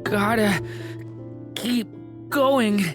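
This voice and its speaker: A woman voicing a gentle, uncertain young boy in a video game, speaking hesitantly and earnestly. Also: medium pitch, extremely slow, faint music behind. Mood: weak, lost. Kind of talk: scripted video game voice acting.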